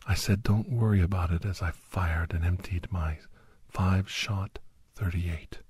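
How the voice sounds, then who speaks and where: Grave soldier-doctor narrator for ASMR audio drama, male actor: very breathy, unhurried, hushed and halting, slipping into whispers. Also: deep voice, fairly even intonation, dry recording, heavily acted. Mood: tense, urgent. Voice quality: breathy, husky. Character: doctor and ex-soldier recounting a battle, grave, anxious. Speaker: storyteller. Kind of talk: ASMR first-person dramatic story narration.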